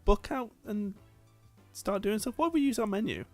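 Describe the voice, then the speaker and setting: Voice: mid-range voice, expressive, normal speed, a little mellow, no echo. Gamer co-host chatting through a game, dry close recording, faint music behind. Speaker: let's play co-host. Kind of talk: casual gameplay commentary.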